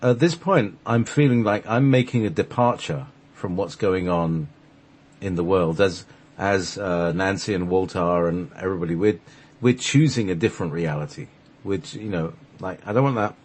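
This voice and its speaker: A man's voice: low voice, moderate pace, a little mellow. A man hosting a talk show, chatting casually in a rambling, reflective way.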